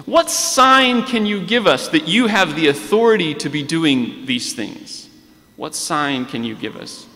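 Slow, bright-voiced preacher in a church. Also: male voice, on the high side, very animated. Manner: earnest, deliberate preaching, reading scripture aloud. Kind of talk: sermon. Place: large church sanctuary, standing at a pulpit. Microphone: pulpit microphone on a stand. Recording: long church reverb.

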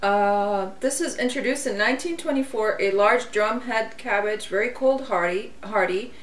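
A woman's voice: mid-range voice, moderate pace. A woman in her thirties from Lebanon, hosting a gardening video and chatting casually with many fillers and giggles. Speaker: gardening channel host. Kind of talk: casual chat, seed haul. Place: kitchen, seated at table. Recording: roomy, distant mic, faint hiss.

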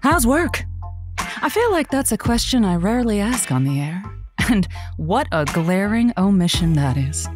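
A woman's voice: mid-range voice, sing-song, unhurried, faint music behind. A woman playing a witty, teasing late-night radio host in an audio drama. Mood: sultry. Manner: witty, playful, sultry on air. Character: Josie, sultry radio host, also playing Joanne. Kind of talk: semi-scripted audio drama.

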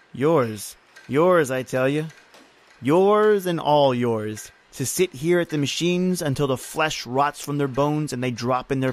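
A man's voice: mid-range voice, close to an everyday voice. A man playing a grim, bitter factory overseer with folksy speech in an old-time style radio horror drama. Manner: folksy, bitter, uses "aye" and "ain't". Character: grim, taunting male factory overseer on an island. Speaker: actor in audio horror drama.

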